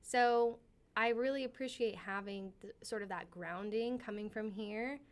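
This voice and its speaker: A young woman from California talks with an interviewer at an even middle pitch. Her delivery is upbeat, articulate and self-assured, steady and well organized. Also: measured pace. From San Jose, California. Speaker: guest. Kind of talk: casual interview chat.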